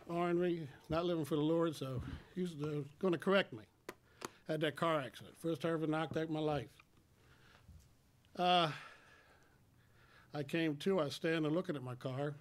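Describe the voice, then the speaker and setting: A man in his sixties giving a personal testimony to a congregation in a plain, folksy, halting way, speaking into a stand microphone. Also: on the high side, a little hiss or hum. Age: 60s. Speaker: church member giving testimony. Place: church sanctuary at a lectern.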